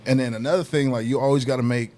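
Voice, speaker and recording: A man with a deeper voice telling stories in casual podcast talk, laid-back and peppered with "you know what I mean". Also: steady tone, rapid-fire, darkish tone. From Southern California. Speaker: guest hip hop artist.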